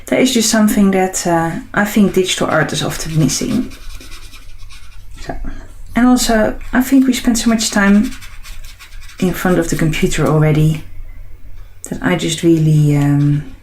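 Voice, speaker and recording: A woman's voice: on the low side, unhurried, darkish tone, a little hiss or hum, rough recording. A woman with a Dutch accent, an artist and host chatting casually while she sketches, musing and meandering with frequent ums and restarts. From Netherlands.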